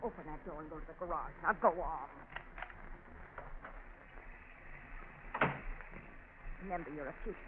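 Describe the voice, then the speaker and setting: A woman's voice: low voice, racing, natural voice. An actress playing an intense, unbalanced young woman who gives orders in a 1940s radio drama. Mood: commanding. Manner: intense, insistent, commanding. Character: desperate, unbalanced young woman, domineering and armed. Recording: scratchy, narrow-band 1940s radio recording.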